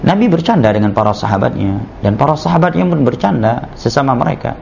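Guy teaching a religious study class, gravelly voice, muffled lo-fi recording w/ a bit of hiss. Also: mid-range voice, expressive, a little mellow. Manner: measured, repeats "ya" and "nah" as he explains. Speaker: Islamic teacher.

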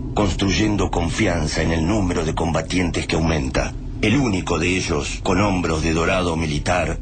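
An actor as the grave storyteller of an apocalyptic tale, narrating a radio drama in an Argentine accent. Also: male voice, low-pitched. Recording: noisy atmospheric sound bed.

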